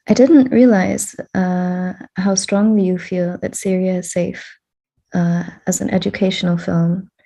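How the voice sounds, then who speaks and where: A woman interviewing an artist, speaking in a thoughtful, measured way in a casual conversation. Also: medium pitch, gravelly, mellow.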